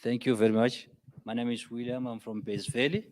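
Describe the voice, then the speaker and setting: This is a South African man speaking up from the floor at a public meeting. English is not his first language, so his phrasing halts in places. He gets emphatic, climbing to loud peaks as he drives his points home. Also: mid-range voice, flat delivery, fairly quick. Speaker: audience member. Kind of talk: impassioned public comment.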